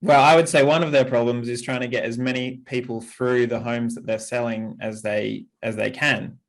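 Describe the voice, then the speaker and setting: A man's voice: mid-range voice, steady tone, moderate pace, gravelly, poor audio. Affirming male podcast host. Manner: encouraging, answers questions readily. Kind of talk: conversational podcast interview.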